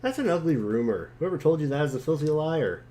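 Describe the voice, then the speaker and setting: A man playing an excitable, goofy, teasing boy in a dramatic webcomic reading, with a cheeky, animated delivery. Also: on the high side, fairly quick, a crowd in the background, pitched above the performer's own voice, close to an everyday voice. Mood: cheeky, defensive.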